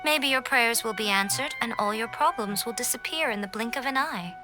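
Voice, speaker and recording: A woman's voice: a little high, brisk, music in the background, close to an everyday voice. Woman voicing a sly teasing fox priestess. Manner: silky, teasing, knowing. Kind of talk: video game voice acting.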